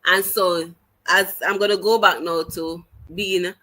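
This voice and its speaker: Jamaican woman guest, teacher, unhurried earnest storytelling with a bright, forceful tone. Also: on the low side, fairly even intonation, poor audio. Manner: earnest, halting restarts, slips into patois. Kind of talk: conversational storytelling in an interview.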